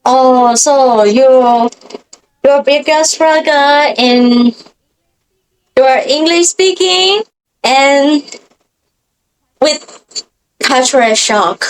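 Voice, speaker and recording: A woman host, asking questions slowly and haltingly with many fillers over a video call. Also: sits high, clean tone, low-quality recording. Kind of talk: casual interview.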